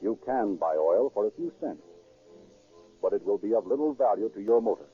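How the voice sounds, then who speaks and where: A man with an American accent announcing and narrating a 1930s radio programme in a formal, measured, scripted style. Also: on the low side, animated, slightly rough, faint music behind. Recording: muffled, worn 1930s radio recording.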